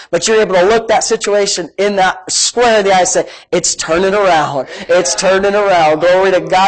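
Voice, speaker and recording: A man's voice: high voice, poor audio. A man preaching in a conversational teaching style, with a big voice and an emphatic, folksy manner that repeats phrases for emphasis. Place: live-streamed church service, amplified.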